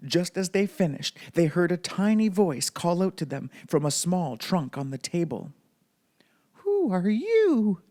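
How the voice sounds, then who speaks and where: A man's voice: a little high, moderate pace, few pauses, darkish tone. A man narrating a children's bedtime story as a read-aloud storybook reader.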